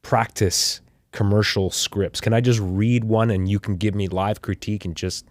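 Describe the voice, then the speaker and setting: A man's voice: on the low side, fairly even intonation, normal speed, no echo, studio quality. A man with a Canadian accent talking casually as a podcast producer and co-host. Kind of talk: casual chat.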